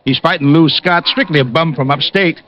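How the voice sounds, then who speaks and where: Excitable boxing promoter male actor, high-pitched, slangy and chummy, vintage radio play, muffled recording. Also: moderate pace, lightly acted. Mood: jovial. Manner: eager, slangy, repeats himself. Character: excitable, chummy fight promoter, backslapping pal. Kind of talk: 1940s-50s radio drama acting.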